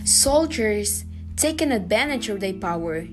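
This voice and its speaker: Guatemalan-born student, reads evenly at a middle pitch over guitar music. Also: female voice, unhurried. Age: young adult. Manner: earnest, steady reading. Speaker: student podcaster. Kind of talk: scripted reading.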